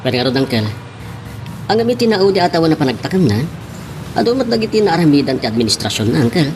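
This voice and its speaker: A man's voice: on the high side, very animated, fast, darkish tone, soft background music. An actor with a Filipino accent playing an eager, earnest nephew in an audio drama, respectful as he argues. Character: eager nephew arguing politics with his uncle.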